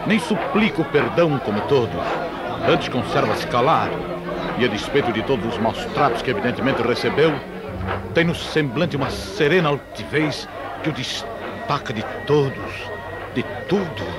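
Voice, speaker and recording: An actor playing a troubled, disdainful Roman governor, grave and commanding, in a religious drama. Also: male voice, medium pitch, animated, moderate pace, close to an everyday voice. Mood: grave wonder. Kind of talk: religious radio drama acting. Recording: music and crowd behind the voice.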